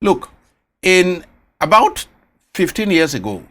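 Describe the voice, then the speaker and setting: A Nigerian man hosting a studio interview, asking probing questions in a measured way. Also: high-pitched, very animated, a bit bright. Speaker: interviewer, host.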